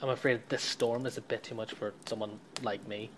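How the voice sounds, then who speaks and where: A man's voice: on the low side, steady tone, fairly quick, a bit bright, a little hiss or hum, lightly acted, rough recording. A man playing a polite, cheerful middle-aged halfling in a fantasy audio drama, with an apologetic, chirpy manner.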